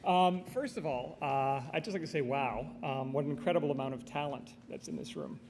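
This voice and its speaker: A man coordinator presenting awards, speaking haltingly with many fillers. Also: a little high, gravelly. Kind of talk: ceremony speech. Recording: far, reverberant hall with crowd noise.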